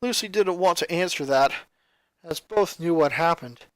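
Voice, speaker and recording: A man with a notably high voice reads a scripted story. He narrates dramatically, as a fan fiction reader would. The sound is dry, with very little room to it. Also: lively intonation, normal speed, dry recording, rough recording.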